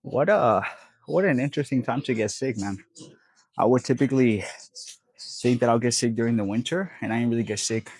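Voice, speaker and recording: A man's voice: mid-range voice, a little fast, a little mellow, no room sound. A young man vlogging between sets at the gym, stuffy-nosed and sniffling, talking in a laid-back, rambling way with lots of fillers. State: congested, sniffling. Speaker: fitness vlogger. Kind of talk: casual gym vlog talk.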